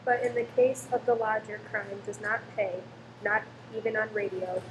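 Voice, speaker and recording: A woman's voice: mid-range voice, fairly even intonation, normal speed. A woman delivering dramatic scripted narration for a radio play. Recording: clear background noise.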